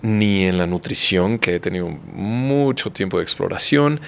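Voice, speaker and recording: A man in his forties with a Mexican accent, a doctor guest on a podcast, speaking in a calm, reflective way in a studio. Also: sits low, moderate pace, creaky. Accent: Mexican Spanish. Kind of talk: casual interview. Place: dark studio set, indoors. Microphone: studio mic on boom arm.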